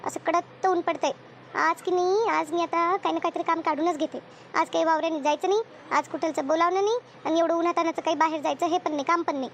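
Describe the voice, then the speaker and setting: A woman plays a bustling village housewife in a cartoon comedy, very high and quick. She rambles and scolds like a caring, bossy neighbour in a rural Maharashtrian accent. There is faint noise behind her. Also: fairly even intonation. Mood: planning, musing. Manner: chatty, scolding, rambling rural homemaker talk.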